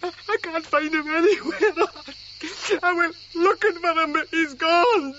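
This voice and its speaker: An actor playing an emotional, bitter, hard-drinking archaeologist's assistant in a radio drama, halting and protesting as he speaks. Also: very high-pitched, bright, forceful tone, highs cut off, a little hiss or hum, heavily acted. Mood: distraught.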